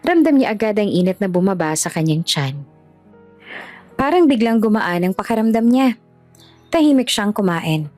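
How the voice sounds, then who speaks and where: A woman's voice: medium pitch, a little mellow. A woman storyteller reading scripted narration for an audio drama. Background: music.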